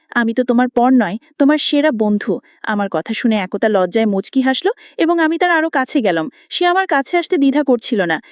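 A woman's voice: high voice, no room sound, muffled recording. A woman reading a scripted romantic story aloud in the first person, as an audio story narrator.

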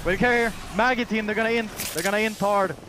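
Guy shot-calling in a shooter, high voice, loud gunfire and game noise behind. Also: quick pace. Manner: repetitive, commanding short phrases. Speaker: esports team player calling strategy. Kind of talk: urgent in-game team callouts. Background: gunfire, vehicles and game sounds.

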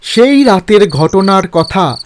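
A man narrating a horror story in a slow, measured, dramatic way. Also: sits high, big pitch swings, a little hiss or hum. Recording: narration over background music.

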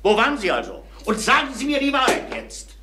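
An actor playing a persistent, authoritative police inspector in a crime radio play, putting curt, probing questions. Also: male voice, very high voice, sing-song, a little fast. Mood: stern, pressing. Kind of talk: German crime radio drama acting. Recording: roomy, noisy vintage radio drama.

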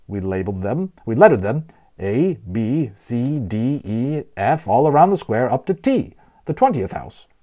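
A man reading a children's novel aloud as a storyteller, expressively and with deliberate emphasis on key words. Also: medium pitch, very animated, warm tone. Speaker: audiobook narrator and storyteller.

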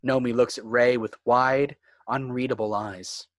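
A man's voice: medium pitch, steady tone, unhurried. A man reading scripted screenplay narration, describing scenes and action. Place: indoors on a home video call.

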